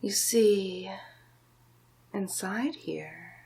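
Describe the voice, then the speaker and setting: A smug, scheming royal vizier played by a woman in an ASMR villain roleplay, hungry for the throne. The delivery is extremely slow and deliberate, every phrase laid out with silky, theatrical menace. The voice is soft and breathy, often sinking into a whisper. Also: mid-range voice, full character voice. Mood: sly, gloating.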